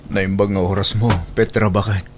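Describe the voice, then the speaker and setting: A man's voice: lowish voice, little pitch movement, normal speed, natural voice. A man with an Ilocano accent acting a remorseful husband in an audio drama, apologetic and hesitant as he pleads. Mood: tentative.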